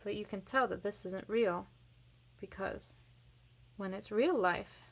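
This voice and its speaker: A woman's voice: medium pitch, slow pace, gravelly. A woman reading a picture book aloud expressively to children, with casual explanatory asides. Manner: animated, varies voice for characters.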